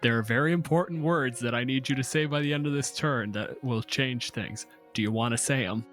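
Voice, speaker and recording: Male game master, mid-pitched, casual and profane, explaining tabletop rulings over light background music. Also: fairly quick, fairly bright tone.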